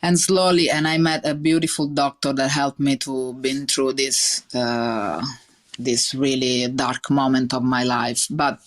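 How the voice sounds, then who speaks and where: A woman's voice: very deep voice, steady tone, a little slow. A woman in her thirties with a strong Italian accent, speaking earnestly in a podcast interview, with many fillers and restarts. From Italy. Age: 30s. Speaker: chef guest.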